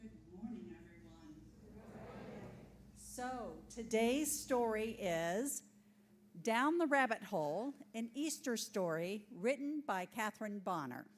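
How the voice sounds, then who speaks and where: A woman's voice: mid-range voice, animated, slow, long pauses. A woman reading a children's story aloud to a congregation, recorded in a noisy room with an audience. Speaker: children's story reader at a church service. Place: church sanctuary before a congregation.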